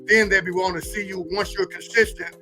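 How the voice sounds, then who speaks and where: High-voiced, bright male pastor. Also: fairly even intonation, a little fast. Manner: informal, colloquial, preacherly. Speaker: pastor, guest co-host. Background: music.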